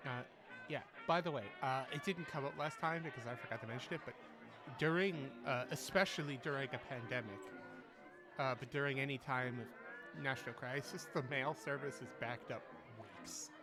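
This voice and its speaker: Casting: mid-pitched male game master, easygoing explainer, music underneath. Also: moderate pace. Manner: casual, explanatory. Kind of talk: narrating a tabletop game.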